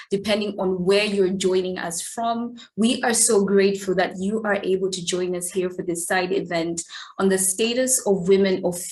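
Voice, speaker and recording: A woman's voice: mid-range voice, poor audio. A woman from Malawi moderating a formal online event, welcoming the audience and reading her introduction.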